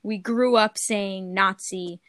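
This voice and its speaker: A woman's voice: medium pitch, steady tone, slow pace, fairly bright tone, no room sound, clean studio sound. A young woman co-hosting a casual podcast chat, thinking aloud hesitantly with many fillers. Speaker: podcast co-host.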